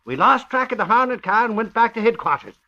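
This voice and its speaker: High, bright, excitable sidekick. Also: male voice, fast talker, gravelly, full character voice. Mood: excited, insistent. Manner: emphatic, eager storytelling. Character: excitable, talkative Irish ex-policeman bodyguard and sidekick. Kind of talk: 1940s radio acting. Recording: muffled old radio recording.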